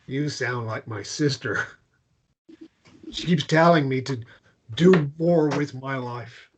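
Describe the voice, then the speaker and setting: A man playing a warm, emotionally open man in a read-through of a romance drama. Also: medium pitch, slow, background noise, lightly acted. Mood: halting, vulnerable.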